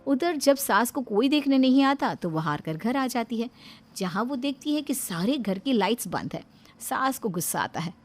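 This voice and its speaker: A woman's voice: fairly high pitch, animated, normal speed. A woman storyteller giving scripted narration for a cartoon moral story.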